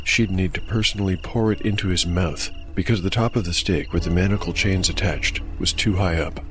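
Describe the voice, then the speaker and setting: A man narrating a dark fantasy tabletop audiodrama in a measured, deliberate, scripted storytelling style. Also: low-pitched, slight background noise.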